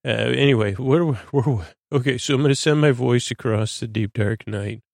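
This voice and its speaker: A man with a creaky voice hosting a bedtime podcast, soothing and meandering, stammering as he drifts off into tangents. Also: mid-range voice, normal speed, darkish tone, no echo, studio quality. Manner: soothing, lulling delivery. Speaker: podcast host. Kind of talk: rambling bedtime monologue.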